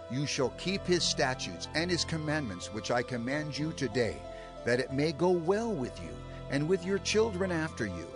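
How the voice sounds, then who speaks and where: A solemn male prophet acted by a man addresses his people in a dramatized scripture production. His delivery is emphatic and oratorical, with lively swings in pitch at a middle register. Music plays behind him. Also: lightly acted. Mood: solemn exhortation.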